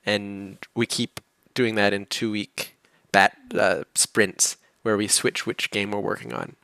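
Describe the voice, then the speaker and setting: A low-voiced man with a gravelly, fry-laden edge talks in a loose, relaxed way, stringing long explanations together with little chuckles. It sounds dry and close, as on a video call. He is a game developer in a casual livestream Q&A. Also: slow pace, no echo. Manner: relaxed, explains at length, chuckles between points. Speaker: game developer answering questions.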